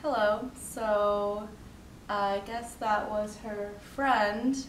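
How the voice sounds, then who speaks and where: A woman's voice: mid-range voice, steady tone, drawn-out words. A woman playing the co-host of a forum, introducing and questioning guests in an amateur dramatization. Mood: polite. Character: female host of a forum for lost souls. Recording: echoey room, distant mic, faint noise.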